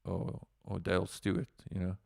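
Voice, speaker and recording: South African musician, low gravelly voice, dry sound, loose rambling storyteller. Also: male voice, flat delivery, moderate pace, a little mellow, no echo. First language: Afrikaans. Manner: rambling, with many fillers and restarts. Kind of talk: casual livestream storytelling.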